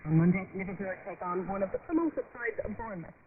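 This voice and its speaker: A man's voice: sits high, big pitch swings, fast, far from the mic, over a noisy crowd. A man reading a scripted sports news report, heard on a shortwave radio broadcast. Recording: noisy, narrow-band shortwave radio broadcast. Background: clear shortwave radio noise.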